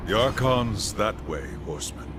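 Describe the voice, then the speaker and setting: A deep, solemn angel warrior. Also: male voice, big pitch swings, moderate pace, background noise. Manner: formal, earnest and courteous. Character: loyal male angel warrior, solemn soldier. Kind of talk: video game cutscene acting.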